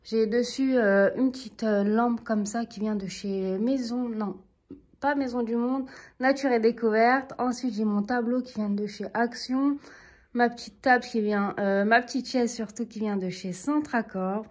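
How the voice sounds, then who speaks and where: A woman's voice: a little high, steady tone, a little slow, a little mellow. A woman in her thirties giving a casual, chatty tour of her living room, filming with a handheld phone and adding friendly asides to viewers. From Turkish heritage, living in France. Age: 30s. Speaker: home vlogger. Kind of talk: casual home tour narration. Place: apartment living room, indoors. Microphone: handheld phone camera, speaker off screen.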